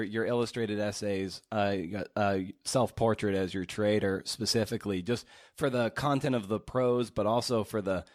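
A man hosting a conversational interview podcast, halting and repeating words as he works through long questions. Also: lowish voice.

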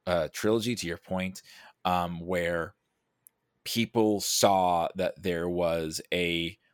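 A man with a low-pitched voice talks casually about film on a podcast, recorded close and dry. He works through his thoughts aloud, often halting and rephrasing as he goes. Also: steady tone, clear, resonant tone, no room sound. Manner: halting, self-correcting, thinking aloud. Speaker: podcast guest or co-host discussing a film.

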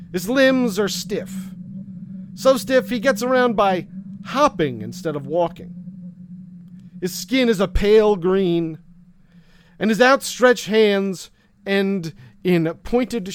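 High-voiced, slow-talking male narrator. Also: noisy background. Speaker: game master. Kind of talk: second-person noir narration.

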